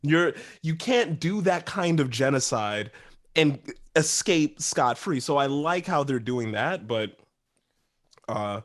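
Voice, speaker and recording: A man co-hosting a podcast, giving measured opinions in a casual anime review chat. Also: on the high side, lively intonation. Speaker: podcast co-host.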